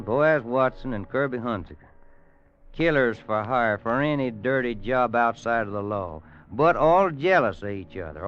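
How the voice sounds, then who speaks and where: A man's voice: mid-range voice, lightly acted. An actor playing a weary, worried Western man telling a grim tale in a folksy American radio drama style. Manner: folksy, grave dramatic storytelling. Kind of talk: 1950s radio drama acting. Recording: muffled old radio recording with music.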